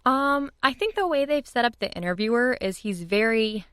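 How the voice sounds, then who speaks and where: Young woman, podcast guest, clean smooth tone, mid pitch, casual chat, very dry sound. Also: lively intonation, moderate pace, fairly bright tone, no echo. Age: young adult.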